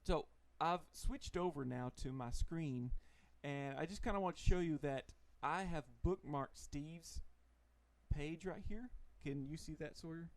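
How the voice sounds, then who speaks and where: A man with a Texan accent hosting a casual livestream, relaxed and chatty, laughing often. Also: on the high side, a little slow, dry recording. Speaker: host.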